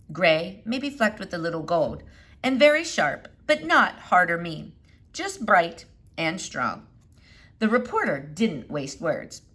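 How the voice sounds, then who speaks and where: Expressive woman reading a children's book aloud. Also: on the low side. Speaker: storybook reader.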